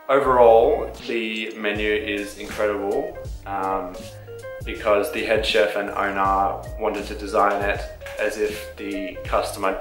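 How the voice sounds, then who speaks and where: A young man co-hosting a casual vlog, speaking in a relaxed, eager way. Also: lowish voice, echoey room. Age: young adult. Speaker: vlog co-host. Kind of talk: casual chat. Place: bright indoor room, seated.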